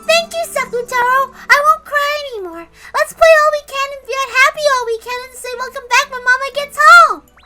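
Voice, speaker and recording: An innocent, affectionate little girl with a very high, bright voice. She gushes like a child, eager and emphatic, in a visual novel read aloud over music. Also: moderate pace, exaggerated voice. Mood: excited, grateful.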